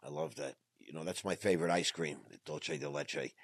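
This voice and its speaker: A man's voice: very deep voice, fairly quick, creaky, dry recording, studio quality. A man hosting a podcast interview, enthusiastic and effusive, often repeating words as he talks. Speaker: podcast host. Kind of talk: conversational interview.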